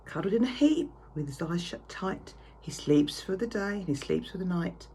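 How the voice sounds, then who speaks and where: A woman reading a picture story aloud to children with theatrical, drawn-out emphasis. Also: on the low side, expressive. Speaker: primary school reader. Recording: clear background noise.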